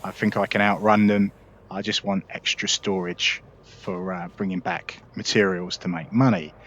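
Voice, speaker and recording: Lower-voiced man explaining game gear. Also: fairly even intonation, moderate pace, a bit bright. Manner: chatty, explanatory, with frequent fillers and restarts. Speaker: gaming video host. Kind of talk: casual gameplay walkthrough.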